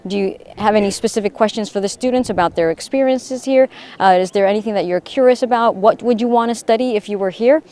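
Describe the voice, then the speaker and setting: A woman's voice: mid-range voice, fast talker, few pauses, mellow. A woman news reporter interviewing students live on location at a university, prompting them with questions and restating their answers. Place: university campus in Rome.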